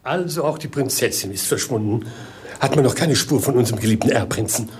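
A man's voice: medium pitch, brisk, a little mellow, a little hiss or hum, natural voice. An actor playing a pompous, muddle-headed old king in a 1950s radio play, fretful and repeating himself. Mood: pompous fretting. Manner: pompous, stammering, repeating words.

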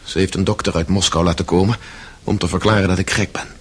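An actor playing a tormented, self-loathing Russian prisoner in a radio drama, slow and confessional in manner. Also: male voice, low voice, fairly even intonation. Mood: brooding resolve. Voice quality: husky.

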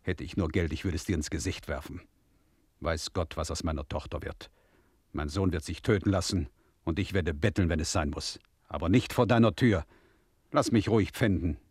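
A man's voice: sits low, moderate pace, darkish tone, slightly rough, dry recording, studio quality. An actor playing a proud, aggrieved, penniless peasant father in a German radio drama, bitter and stubborn. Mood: bitter, defiant.

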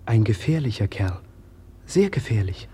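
An actor playing Kolya, an eager young messenger lad, in a 1950s radio play. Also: male voice, on the low side, sing-song, normal speed, warm tone, husky, exaggerated voice. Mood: hurried.

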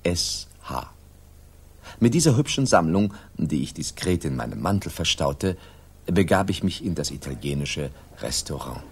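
A measured, literary storyteller in a sixties German radio mystery. The voice is very low and husky, with expressive swings in pitch. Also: male voice, slight background noise, heavily acted. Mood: measured, suspenseful. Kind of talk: scripted first-person narration in 1960s radio play.

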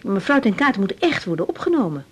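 A woman playing a young, harried doctor in a radio drama, expressive and full of questions, emotional and insistent. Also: medium pitch, fairly quick, slightly rough. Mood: businesslike, insistent. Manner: expressive, questioning, stammers when upset. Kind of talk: 1980s radio drama acting.

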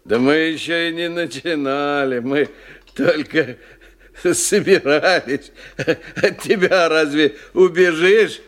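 An actor playing a kindly, awkward family friend in a radio drama, coaxing and hesitant, eager to help. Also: male voice, a little high, takes it slowly, fairly bright tone. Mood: joking. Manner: coaxing, hesitant, repeats words.

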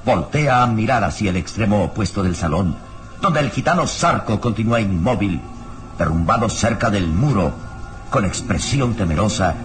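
A man narrating a radio serial from a script in a dramatic, solemn, deliberate way. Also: on the low side, animated, over music.

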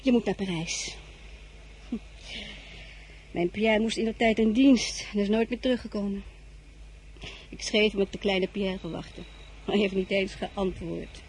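A woman's voice: mid-range voice, steady tone, measured pace, long pauses, a little mellow, husky, rough recording. A woman playing a loyal, practical older maid and former nurse in a radio drama. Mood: practical concern.

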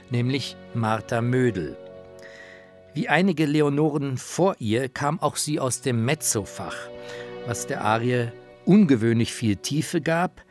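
A man presents a scripted radio feature in a medium-pitched voice. He narrates in an explanatory storytelling style, with faint music behind him. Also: darkish tone.

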